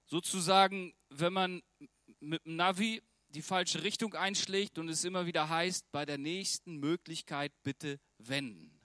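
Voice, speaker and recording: A man's voice: fairly high pitch, unhurried, pushed and bright, no echo. A German man preaching a sermon in an earnest, conversational way, often turning to ask the listeners direct questions.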